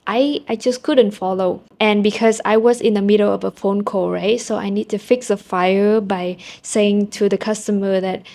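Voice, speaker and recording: A young Vietnamese woman speaks English with a clear Vietnamese accent, chatting straight to her camera. Her tone is candid and a little self-deprecating, with casual fillers scattered through her stories. Her pitch sits in an ordinary middle range. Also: fairly even intonation, moderate pace, hardly any pauses, mellow. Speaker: vlogger. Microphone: small handheld camera mic.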